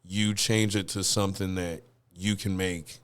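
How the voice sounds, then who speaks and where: A man with a fairly deep, raspy voice speaks as a guest musician in a relaxed podcast conversation. He tells his stories in an animated, rambling way, with casual phrasing. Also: measured pace.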